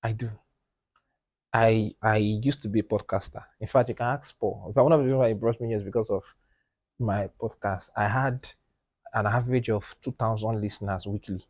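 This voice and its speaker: A man co-hosting a casual podcast discussion. He talks in an animated, emphatic way, keeps asking "do you understand?", and drops to a low, quiet voice when he presses a point. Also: fairly quick. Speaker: podcast co-host. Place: podcast studio.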